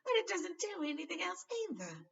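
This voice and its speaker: A woman's voice: extremely high pitch, big pitch swings, brisk, vocal fry, fairly bright tone, muffled recording, pitched above the performer's own voice, full character voice. A woman voicing a plucky but anxious young schoolboy from a storybook, urgent and plain-spoken. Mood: wry. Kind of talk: storybook character reading.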